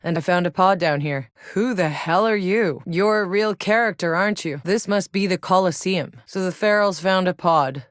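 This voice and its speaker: A woman's voice: fairly low pitch, moderate pace, hardly any pauses, dry recording, studio quality, natural voice. An actress playing a tough, sardonic female soldier in a sci-fi shooter game, with a wry, blunt delivery. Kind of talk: video game voice lines, naturalistic acting.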